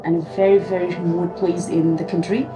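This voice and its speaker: A woman in her twenties with a Bhutanese accent talks about herself in a documentary interview. Her voice sits a little low, and music plays clearly underneath. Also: little pitch movement, brisk, rough recording. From Trashigang, Bhutan. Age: 20s. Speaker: novice rider.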